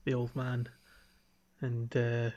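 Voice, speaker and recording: A man's voice: medium pitch, monotone, takes it slowly, a little mellow, dull audio, a little hiss or hum. Enthusiastic man chatting casually on a podcast. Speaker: podcast co-host. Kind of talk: casual chat about a video game.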